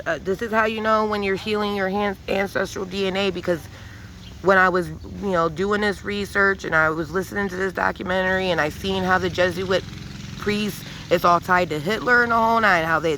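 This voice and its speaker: A woman's voice: fairly low pitch, a bit bright, a little hiss or hum, poor audio. A woman giving an emphatic, profane spiritual monologue with many restarts, rambling and preachy. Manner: intense and preachy. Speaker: vlogger.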